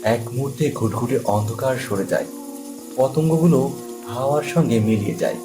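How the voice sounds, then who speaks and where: Guy narrating a spooky story, medium pitch voice, music playing behind him. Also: normal speed. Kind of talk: scripted horror story narration.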